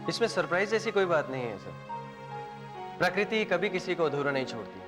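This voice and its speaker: A calm, joking film doctor. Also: male voice, a little high, a little fast. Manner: reassuring, lightly teasing, mixes English phrases. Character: calm jovial male doctor. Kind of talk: 1990s Hindi film acting. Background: film score music.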